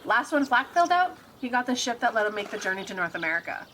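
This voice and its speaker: A woman playing a sassy, easily exasperated detective's sidekick in an audio drama, teasing and incredulous in a brisk way. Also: on the high side, very animated, sharp tone, slight background noise. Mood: casual, knowing.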